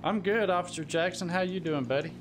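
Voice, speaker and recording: A man's voice: sits high, a little fast, background noise. A man chatting casually with friends as he hosts a gaming live stream.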